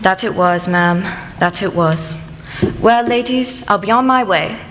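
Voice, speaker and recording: A woman's voice: medium pitch, unhurried, fairly bright tone, lightly acted. A woman acting in an amateur radio play as a talkative rustic postman, using dialect turns and emphatic repetition. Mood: solemn. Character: folksy rustic village postman, talkative and gossipy. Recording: echoey hall with faint noise.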